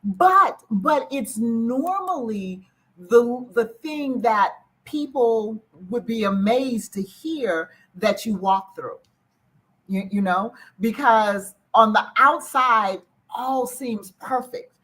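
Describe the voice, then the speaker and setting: A woman host with a husky voice speaks in an interview. She responds to her guest with empathy, affirming and reflecting back what was said with emphasis. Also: on the high side, animated, slow pace, fairly bright tone, poor audio. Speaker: show host. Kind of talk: interview conversation.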